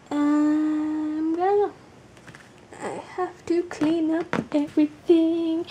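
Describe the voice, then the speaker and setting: A woman doing a casual, blunt tarot card reading, tired, with a gravelly, sleepy voice, swearing casually and using lots of fillers. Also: high voice, warm tone, slight background noise.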